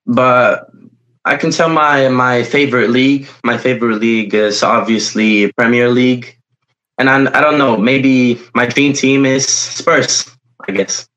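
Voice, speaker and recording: A young man with Polish as his first language answers an interviewer's questions. He talks hesitantly, with many pauses and fillers while he hunts for the right words. His voice sits at a middle pitch. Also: steady tone, a bit bright, poor audio. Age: young adult. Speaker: footballer guest.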